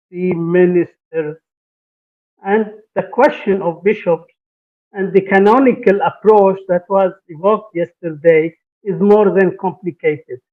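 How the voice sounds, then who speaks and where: Hesitant priest, high voice. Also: male voice. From Lebanon. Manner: hesitant, many fillers and restarts. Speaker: priest and theology professor. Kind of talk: conference panel talk. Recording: tinny, phone-like conference stream.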